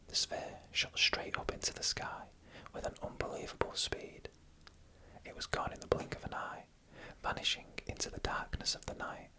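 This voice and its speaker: A narrator whispering eerie first-person stories in ASMR style, in a hushed, deliberate, suspenseful manner. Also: low-quality recording.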